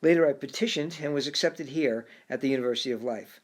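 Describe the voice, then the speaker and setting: A man voicing a calm, courteous male frog with an English accent, who speaks formally and keeps saying sir in an audiobook story. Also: medium pitch, fast talker, close to an everyday voice. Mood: polite.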